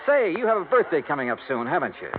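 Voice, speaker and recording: An actor playing a hardboiled insurance investigator, narrating and talking in a dry, sardonic, laconic way. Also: male voice, on the high side, very animated, quick pace, noisy background. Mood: sly. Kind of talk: 1950s radio drama narration and dialogue. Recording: tinny 1950s radio with music.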